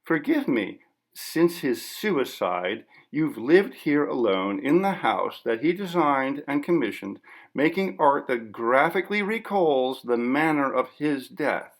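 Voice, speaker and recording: A man's voice: mid-range voice, sing-song, measured pace, voice pushed up. A man voicing a formal, persistent interviewer in an audiobook story, politely but firmly pressing an artist. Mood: insistent.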